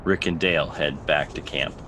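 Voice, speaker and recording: A man's voice: fairly even intonation, normal speed. A man narrating scripted stage directions for a drama table read. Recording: video-call mic with background rumble.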